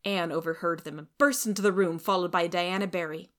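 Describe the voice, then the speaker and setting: A woman's voice: on the low side, fairly even intonation, fairly quick. A woman reading scripted storybook narration expressively, as a podcast story reader.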